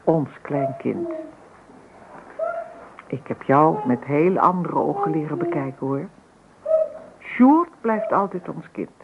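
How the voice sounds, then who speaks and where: Gentle old grandad in a radio play, creaky voice, confiding, noisy old recording. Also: male voice, on the high side, expressive, slow pace, pauses often, exaggerated voice. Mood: tender, confiding. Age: older. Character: kindly, reflective grandfather and father-in-law. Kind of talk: naturalistic radio drama acting. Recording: old radio play, phone-like sound, noticeable noise.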